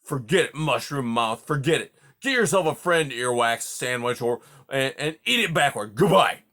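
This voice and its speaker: A man's voice: mid-range voice, animated, measured pace, vocal fry, sharp tone, exaggerated voice. A man voicing a grumpy old male porcupine who huffs, sputters and swears in silly made-up oaths. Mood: indignant.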